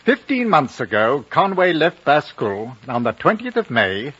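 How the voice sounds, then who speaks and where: A man's voice: fairly high pitch, big pitch swings, a little slow, a bit bright, husky, exaggerated voice. A man playing an earnest, well-travelled storyteller in a 1950s radio drama, speaking precisely on a dull old broadcast recording. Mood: serious.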